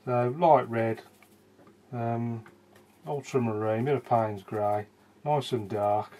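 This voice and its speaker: A man in his thirties giving a casual watercolour painting lesson, thinking aloud as he works and often trailing off into quiet asides, recorded in a small room by a camera beside the easel. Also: mid-range voice, slow pace, a little hiss or hum. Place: small indoor room with bookshelves.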